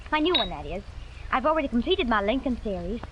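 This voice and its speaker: An actress playing a chatty, earnest young secretary in a 1940s radio drama, recorded as a dull old broadcast with faint hiss. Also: female voice, fairly high pitch, big pitch swings, fast talker.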